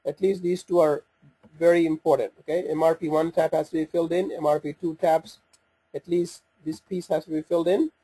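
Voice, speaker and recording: Husky, mellow man demonstrating software. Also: on the high side, fairly even intonation, poor audio. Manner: halting, thinking aloud while operating the screen. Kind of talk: informal step-by-step software walkthrough. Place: voice-over for a screen recording.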